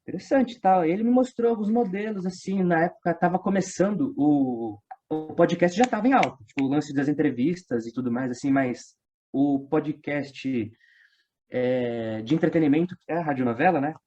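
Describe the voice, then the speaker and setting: Slightly high-voiced Brazilian man, lo-fi audio. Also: moderate pace, slight background noise. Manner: animated storytelling, acting out quoted remarks in slangy speech. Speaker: guest, audio drama writer and voice-dubbing worker. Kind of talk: casual interview chat.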